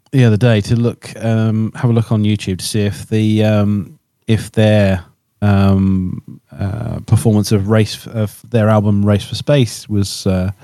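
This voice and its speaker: A man chatting casually on a podcast in a hesitant, halting way, often repeating himself. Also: on the low side, warm tone, no room sound, clean studio sound. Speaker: podcast co-host.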